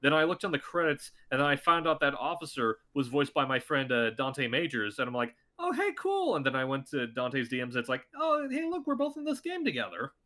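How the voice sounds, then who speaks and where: A man who is a voice actor, chatting casually as a podcast guest, talking excitedly and jumping his voice up high when he acts out what people said. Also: a little fast, hardly any pauses.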